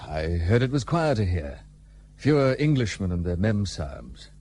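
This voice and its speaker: An actor playing a gruff, guarded, well-travelled gentleman in a period radio play, speaking in a dry, formal, old-fashioned way. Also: lowish voice, sing-song, moderate pace, a little hiss or hum. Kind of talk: period radio drama acting.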